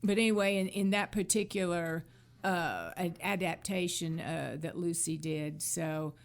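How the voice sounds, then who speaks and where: Unhurried, creaky-voiced woman. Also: on the low side, steady tone. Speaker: co-host. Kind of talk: casual chat.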